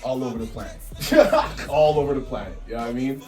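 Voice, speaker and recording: Hip hop workshop leader, man from Canada, casual and loose, mid pitch, off-mic with music under. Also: brisk, darkish tone, far from the mic. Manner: laid-back, peppered with "you know what I'm saying". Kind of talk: casual teaching talk.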